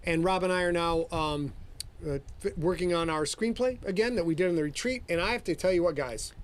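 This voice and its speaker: A man hosting a casual podcast livestream, talking in an excitable, rambling, self-deprecating way. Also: sits high, fairly quick. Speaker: podcast host and author.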